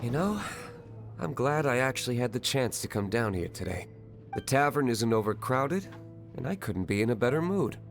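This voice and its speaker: Contented tavern patron, video game, mid-pitched, gravelly, easygoing. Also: male voice, very animated, moderate pace, soft background music.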